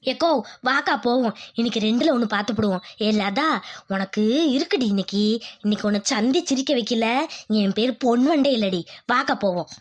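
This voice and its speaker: A woman's voice: a little high, brisk, close to an everyday voice. A woman voicing a hot-tempered, domineering village mother-in-law in a cartoon family drama, scolding and threatening. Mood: furious, threatening.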